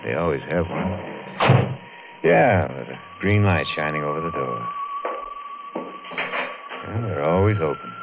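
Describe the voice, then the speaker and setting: An actor playing a haunted, guilt-ridden wife killer in an overwrought, intense 1950s radio thriller style. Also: male voice, rumbling low, big pitch swings, a little slow, long pauses, over loud music, exaggerated voice. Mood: drained resignation. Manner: overwrought, intense dramatic delivery. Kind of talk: 1950s radio thriller acting, first-person narration. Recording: muffled, noisy old radio recording.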